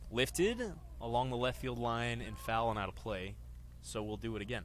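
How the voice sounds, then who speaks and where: A man's voice: mid-range voice, normal speed, slight background noise. A man in his twenties calling a baseball game live as a radio play-by-play announcer. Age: 20s. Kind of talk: live sports commentary.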